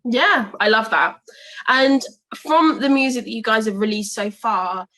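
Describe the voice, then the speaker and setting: A woman's voice: fairly high pitch, measured pace, bright, forceful tone, low-quality recording. A young British woman interviewing a music duo, asking long chatty questions full of fillers.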